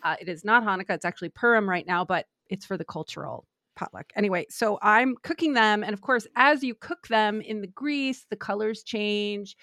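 A woman's voice: mid-range voice, moderate pace, hardly any pauses, a bit bright, no echo, studio quality. A woman telling a rambling, self-deprecating story in a casual podcast chat. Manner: chatty, self-deprecating, many fillers. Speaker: podcast co-host, screenwriter.

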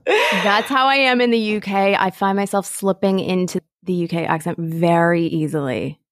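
A woman's voice: medium pitch, normal speed, no echo, studio quality. An American woman co-hosting a podcast in casual chat, thinking aloud in a reflective way. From United States. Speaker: podcast co-host, sustainability writer.

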